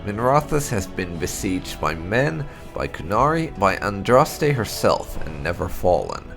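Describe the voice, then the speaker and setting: A man reading fantasy lore aloud as dramatic narration, in a deliberate storyteller's delivery. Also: fairly low pitch, big pitch swings, creaky. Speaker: gameplay channel host. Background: music.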